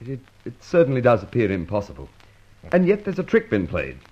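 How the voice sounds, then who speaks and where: A man's voice: medium pitch, huge pitch swings, a little fast, a little mellow, slightly rough, highs cut off. A man playing an obstinate police inspector in an old radio drama, respectful but protesting stubbornly. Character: dogged, obstinate police inspector answering his superior.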